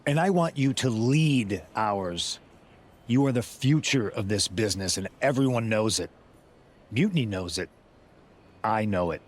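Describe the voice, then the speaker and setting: Persuasive rebel wrestler. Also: male voice, on the low side, animated, unhurried. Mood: persuasive, intense. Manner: earnest, insistent, knowing. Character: persuasive male rebel wrestler leading a mutiny. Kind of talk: scripted video game cutscene acting.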